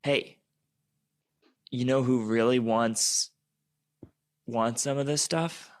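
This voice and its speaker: A man playing a wheedling, trouble-prone teenage little brother in a radio play, halting and slangy. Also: medium pitch, fairly even intonation, natural voice.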